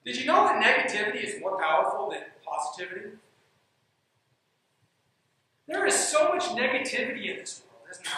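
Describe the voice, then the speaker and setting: A man's voice: sits high, bright, forceful tone. A man giving an emphatic stage talk on leadership and coaching, often repeating his key phrases. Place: dark stage in a large hall. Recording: echoey hall, heard from a distance.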